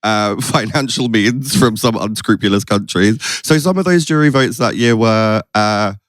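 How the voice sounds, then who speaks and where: A man's voice: lowish voice, normal speed, a bit bright, dry recording. A man hosting a podcast in a casual, playful, chatty way. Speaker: podcast host. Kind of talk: casual chat.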